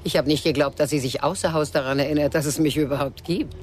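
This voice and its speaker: A woman's voice: low voice, steady tone, fast, a little mellow, lightly acted. An actress plays a worldly middle-aged woman in a radio crime drama. She talks in a sociable, probing way with a shrewd, sardonic edge. There is faint noise in the recording. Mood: chatty, sardonic.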